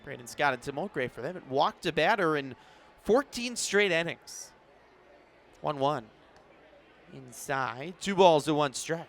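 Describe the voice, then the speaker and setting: A man's voice: fairly high pitch, animated, unhurried, vocal fry, pauses often, a bit bright, slight background noise. A man doing live radio play-by-play commentary of a baseball game as the announcer. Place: broadcast position at an outdoor ballpark in the evening.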